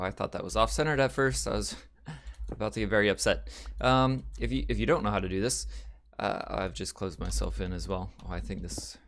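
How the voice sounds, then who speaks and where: A man hosting a casual video game let's-play, chatty and rambling, his voice a little raspy and off today. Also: on the low side, slight background noise. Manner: chatty and rambling, with frequent ums and uhs. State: slightly raspy, voice a bit off. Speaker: gaming channel host.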